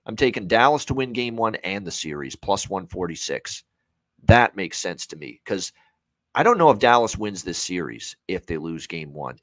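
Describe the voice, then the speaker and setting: Male sports analyst, thirties, lowish pitch, dry sound, emphatic and opinionated, unscripted delivery. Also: no echo. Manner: emphatic, repeats phrases for stress. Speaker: sports betting analyst on a show. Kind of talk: opinionated unscripted hockey betting commentary. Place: indoor room at home, on a video call.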